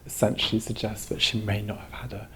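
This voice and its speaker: A man's voice: lowish voice, flat delivery, fairly quick, a loud crowd. A man speaking as a curator in an interview, giving long, discursive explanations. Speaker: exhibition curator, interview guest.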